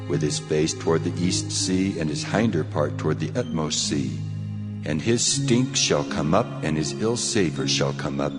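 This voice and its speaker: An actor playing the Lord God, solemn and almighty, in a grave, commanding scripture drama delivery. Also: male voice, deep voice. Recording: long cavernous reverb over music.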